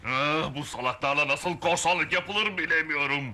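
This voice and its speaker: An actor playing a bad-tempered pirate chief in a dubbed cartoon, commanding and scolding. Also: male voice, fairly high pitch, moderate pace, sharp tone. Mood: exasperated. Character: bad-tempered adult male pirate chief, bossy and exasperated. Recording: noisy, lo-fi cartoon soundtrack.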